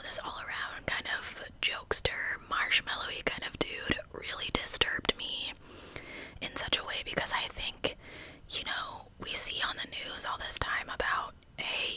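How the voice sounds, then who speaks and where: Woman in a crisp, bright whisper. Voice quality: breathy, unvoiced whisper. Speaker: true crime video host. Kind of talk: ASMR true crime narration.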